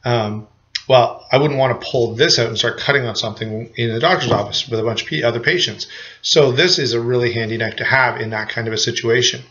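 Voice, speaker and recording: A man's voice: mid-range voice, fairly quick, a bit bright, highs cut off. A man with a cold giving a casual, rambling knife review to camera, full of restarts and fillers. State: has a cold.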